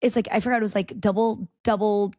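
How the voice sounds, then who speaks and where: A woman in her thirties, a guest in a casual interview, telling stories in an animated way and acting out quotes. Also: medium pitch, quick pace, dry recording, studio quality. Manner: animated storytelling with frequent laughter and acted-out quotes.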